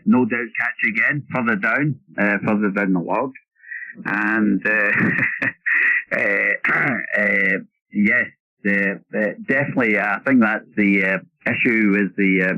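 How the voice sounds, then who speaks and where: A man's lowish voice comes through a dull, band-limited ham radio signal. He talks casually but haltingly, often repeating words as he makes his point. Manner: halting, repetitive. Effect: radio transmission.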